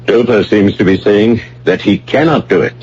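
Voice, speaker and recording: An actor playing a principled, stubborn professor in a radio play, husky, formal and firm. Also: male voice, lowish voice, normal speed, darkish tone. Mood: observant, challenging. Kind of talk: radio drama acting. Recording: dull old radio broadcast.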